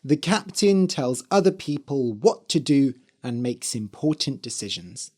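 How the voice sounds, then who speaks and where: A podcast host and English teacher with a slightly hoarse, dry voice, explaining things clearly and carefully for language learners. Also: medium pitch, very animated, a little slow, darkish tone. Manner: careful enunciation, spelling words out letter by letter.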